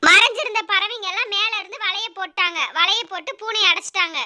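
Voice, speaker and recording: A woman's voice: extremely high pitch, fast, sharp tone. A woman narrating a scripted children's animal story.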